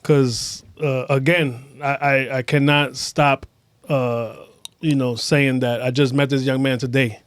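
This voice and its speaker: A man's voice: mid-range voice, level tone, a little slow, clear, resonant tone, no echo. A man hosting a podcast interview in casual, brash, profane slang. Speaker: podcast host.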